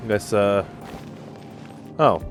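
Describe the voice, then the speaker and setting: A man with a fairly low voice commentates on a game he is playing. His delivery is casual and offhand, like someone thinking aloud for viewers. Game music is clearly audible behind him. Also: extremely slow. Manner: offhand reactions, muttering to himself. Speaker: gaming video host. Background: video game music and sounds.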